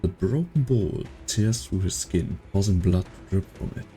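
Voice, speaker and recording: A man acts out a brooding storyteller in a game drama. His voice is low and extremely mellow and dark, and he delivers grim lines with deliberate portent over faint music. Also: animated. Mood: solemn, ominous. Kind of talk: dramatic narration in amateur fan dub.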